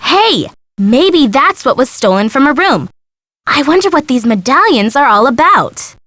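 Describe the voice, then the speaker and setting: A woman's voice: sits high, sing-song, measured pace, fairly bright tone, no echo, studio quality, exaggerated voice. A woman voicing a perky, teasing young sidekick in a detective video game. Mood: curious, bubbly. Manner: bubbly, teasing, playful.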